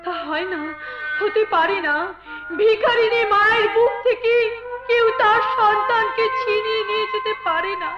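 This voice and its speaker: A woman's voice: very high-pitched, moderate pace. A woman playing an anguished, virtuous poor mother in a folk theatre melodrama, pleading tearfully and theatrically. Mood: tearful, determined. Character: anguished, virtuous poor young mother, defiant under threat. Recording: echoey, dull recording with loud music.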